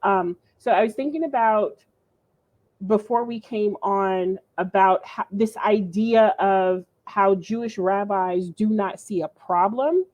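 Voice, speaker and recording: A woman's voice: mid-range voice, takes it slowly, darkish tone, dull audio. A woman professor and guest presenter giving an earnest, explanatory talk, correcting herself now and then. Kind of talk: conversational lecture.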